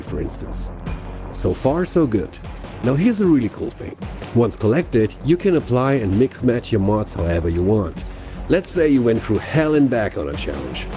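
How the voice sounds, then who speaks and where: Male game-trailer narrator: relaxed warm tone, very varied intonation, playful conversational sell over a music bed. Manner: conversational, playful sales-pitch delivery with rhetorical questions. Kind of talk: scripted promotional narration.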